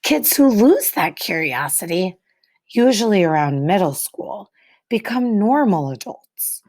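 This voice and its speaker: Slow, higher-voiced woman reading a children's story. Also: creaky, darkish tone. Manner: deliberate, dramatic pauses and emphasis for young listeners. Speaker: teacher reading a picture book aloud. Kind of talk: expressive children's read-aloud narration.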